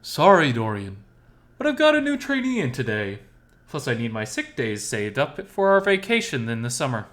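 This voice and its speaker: A man's voice: medium pitch, huge pitch swings, normal speed, a bit bright. A man voicing an affectionate, eager male wolf boyfriend in a playful, loving manner for a visual novel. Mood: apologetic.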